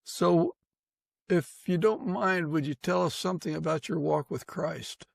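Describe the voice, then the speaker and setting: A man with a fairly high, creaky voice hosts a casual interview. He puts questions and adds his own remarks conversationally, in a dry recording. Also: measured pace, no echo. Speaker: podcast host, interviewer.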